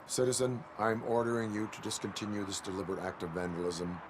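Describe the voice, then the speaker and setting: A stoic cyborg policeman voiced by a male actor for a video game. His low voice is deadpan and clipped, with stiff formal phrasing and absolute authority. Noticeable ambient noise sits behind him. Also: quick pace, lightly acted. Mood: commanding, cold. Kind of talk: video game cutscene acting.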